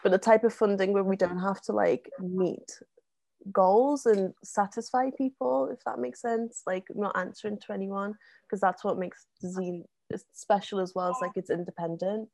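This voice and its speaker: A woman, a guest on a casual podcast, talking with many ums and likes and getting animated in bursts. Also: mid-range voice, moderate pace, creaky, no echo. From North West England. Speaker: interview guest, zine co-founder.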